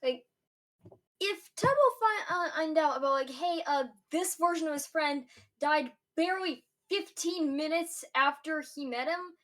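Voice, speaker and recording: A woman's voice: sits high, a little slow, fairly bright tone. A woman player chatting casually about a tabletop game, rambling and often saying "like" as she goes. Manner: rambling, peppered with "like". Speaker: tabletop role-play player.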